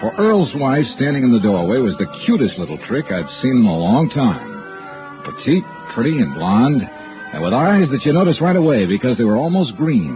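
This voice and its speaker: A hard-boiled, observant investigator in a vintage radio noir. His delivery is wry and clipped, with lively swings of pitch. The sound is thin and narrow, like an old broadcast, with music. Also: moderate pace. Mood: wry, scene-setting. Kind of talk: 1950s radio noir acting and narration.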